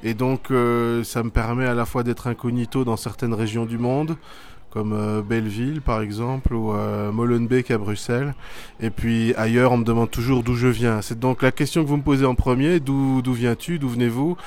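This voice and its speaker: Middle-aged theatre man, reflective, halting delivery with fillers, mid-pitched. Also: steady tone, darkish tone, a little hiss or hum. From Belgium. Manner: thoughtful, hesitant with many fillers. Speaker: theatre artist and teacher. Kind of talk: school media interview, reflective answers.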